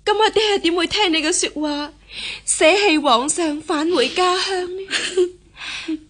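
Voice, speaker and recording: An actress playing a devoted, resolute young daughter, earnest and formal, in a Cantonese radio costume drama. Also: female voice, very high-pitched, slow, fairly bright tone, full character voice. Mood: consoling, mournful.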